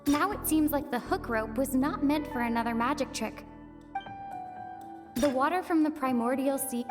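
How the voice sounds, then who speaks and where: A woman's voice: high voice, normal speed, close to an everyday voice. A woman voicing an earnest, thoughtful young investigator in an anime game. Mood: pondering. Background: music.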